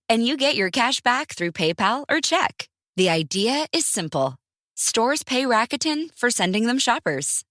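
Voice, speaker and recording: A woman's voice: fairly high pitch, fairly bright tone, no room sound, clean studio sound. A woman announcer giving an upbeat scripted advertisement read.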